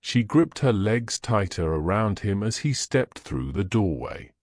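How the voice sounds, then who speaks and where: Slow, low-voiced male storyteller. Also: sing-song, darkish tone, no echo. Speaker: narrator. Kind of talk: scripted fantasy story narration.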